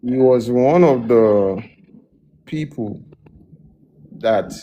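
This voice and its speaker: A man's voice: medium pitch, drawn-out words, slight background noise, low-quality recording. A man, a music producer, telling his story in a casual interview with a lot of restarts. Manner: relaxed, loose storytelling with many restarts.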